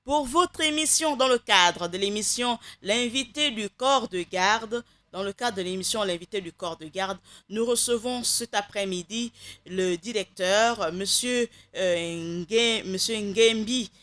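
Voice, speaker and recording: A woman hosts a live radio show, wrapping up in a chatty, unhurried way with thanks and greetings. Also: mid-range voice, fairly bright tone, no room sound. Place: radio studio.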